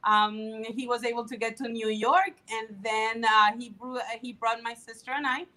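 A woman's voice: fairly high pitch, fairly even intonation, moderate pace, pushed and bright, rough recording. A woman in her fifties from the Dominican Republic, a political candidate answering interview questions in an eager way with frequent fillers. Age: 50s.

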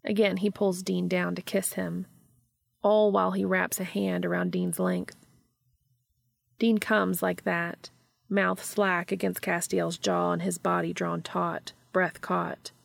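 A woman's voice: lowish voice, creaky, dry recording, studio quality. A woman reading scripted fiction as an audiobook narrator.

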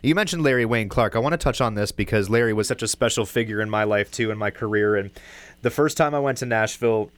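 A man's voice: lowish voice, fast, no echo. A man co-hosting a podcast, interviewing in an informal, conversational style. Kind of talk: casual interview chat.

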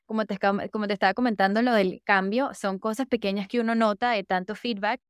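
Smooth-voiced enthusiastic Venezuelan woman. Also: medium pitch, fairly even intonation, quick pace, no echo, studio quality. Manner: enthusiastic, repeats "de verdad que" and "súper". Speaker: podcast guest, user researcher. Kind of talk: casual interview chat.

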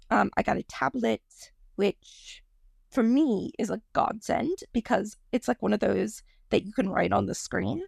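A woman in her thirties hosting a podcast, talking casually on her own with a croaky voice, rambling and apologizing for her digressions. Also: mid-range voice, no echo, studio quality. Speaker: podcast host. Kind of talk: casual solo podcast monologue.

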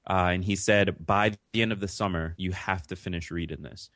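A man's voice: deep voice, fairly even intonation, fairly quick, a bit bright, dry recording, studio quality. A young man, born in Jamaica, answering interview questions in an earnest, reflective way with frequent restarts. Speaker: interview guest. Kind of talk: conversational interview.

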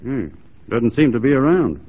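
An actor playing a loyal, easygoing frontier adventurer with dry, folksy irony in 1940s radio style. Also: male voice, medium pitch, sing-song, moderate pace, husky. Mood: wry. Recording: tinny, faintly noisy 1940s radio recording.

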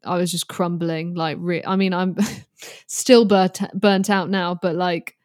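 A woman's voice: fairly low pitch, level tone, takes it slowly, a little mellow, dry recording, studio quality. A British woman in her early twenties chatting casually as a guest, candid, informal and rambling. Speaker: podcast guest, guitarist and online creator. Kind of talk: casual chat.

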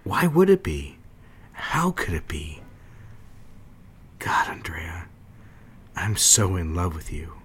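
An actor playing a smitten, secretive well-to-do gentleman in a romantic period audio drama, talking in an intimate, coaxing manner. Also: sits low, huge pitch swings, takes it slowly, long pauses, breathy edge, full character voice. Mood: tender, coaxing.

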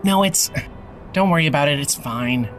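A man's voice: a little high, animated, moderate pace, fairly bright tone, a little hiss or hum. A man playing a grumpy, evasive character in a naturalistic audio drama, speaking in terse grumbles. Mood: evasive, irritated.

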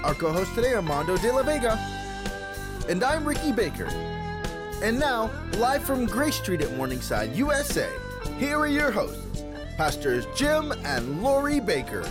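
A man's voice: high-pitched, big pitch swings, moderate pace. A man announcing a show in an upbeat scripted introduction. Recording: loud music under the voice. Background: loud guitar theme music.

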